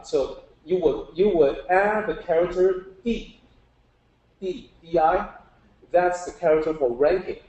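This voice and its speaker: Mellow male teacher in a roomy space. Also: fairly high pitch, muffled recording. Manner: patient, step-by-step explaining with questions to listeners. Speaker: teacher giving a public talk. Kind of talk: informal lecture explaining Chinese characters. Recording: roomy, echoing live talk.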